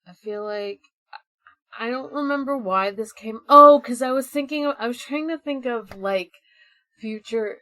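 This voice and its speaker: A woman's voice: on the high side, normal speed, sharp tone, no echo. A woman podcast co-host bantering casually with friends in a giggly, rambling way. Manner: giggly, frequently swearing, rambling.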